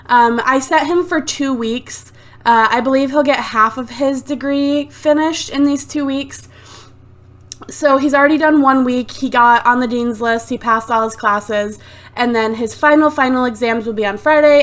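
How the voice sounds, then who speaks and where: A woman giving casual, rambling commentary over a life-sim game on a desktop USB mic. She is sick with a sore throat, sounds a little phlegmy, and fills her talk with "like" and "um". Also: high voice. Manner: chatty and rambling, lots of "like" and "um". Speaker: let's play host. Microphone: desktop USB microphone.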